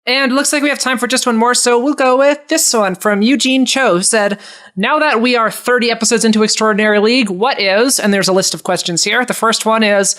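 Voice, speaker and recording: A woman co-hosting a podcast in casual, unscripted discussion, telling things in an animated way and acting out quotes. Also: fairly high pitch, fairly quick. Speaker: podcast co-host reading listener questions.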